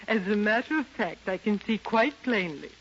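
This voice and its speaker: A woman's voice: medium pitch, expressive, moderate pace, close to an everyday voice. An actress playing a fond, fussy older society grandmother in a 1930s radio drama. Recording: muffled, noisy 1930s radio recording.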